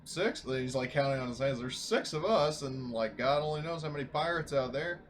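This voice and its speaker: Mid-pitched man, noisy gaming mic. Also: lively intonation, moderate pace. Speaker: tabletop roleplaying game player. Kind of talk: casual group discussion.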